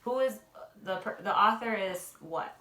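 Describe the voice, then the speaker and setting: A woman's voice: mid-range voice, unhurried, bright, forceful tone, reverberant, slight background noise. A woman reading a picture book aloud to young children as a teacher and mother, prompting a child with questions.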